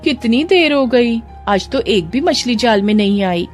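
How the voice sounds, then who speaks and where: A woman's voice: fairly high pitch, animated, measured pace, soft background music, voice pushed up. A woman voicing a kind-hearted, indignant, motherly fisherwoman in a cartoon story, exclamatory and tender. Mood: weary.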